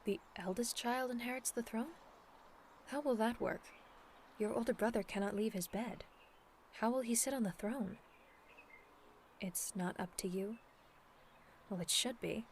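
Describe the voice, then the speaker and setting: Dignified demon princess, acted by a woman, over ambient noise. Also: a little high. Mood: insistent. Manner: formal, measured, persuasive. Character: dignified, earnest demon princess pleading for her people. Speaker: voice actor in an audio roleplay. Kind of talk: scripted ASMR roleplay acting, addressed to the listener.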